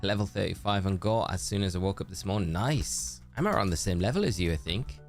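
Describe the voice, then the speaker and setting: A man's voice: deep voice, animated, fairly quick, faint music behind. A man chatting casually on a live stream, greeting viewers by name and calling them mate. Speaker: streamer reading live chat. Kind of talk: casual live-stream chat while shiny hunting.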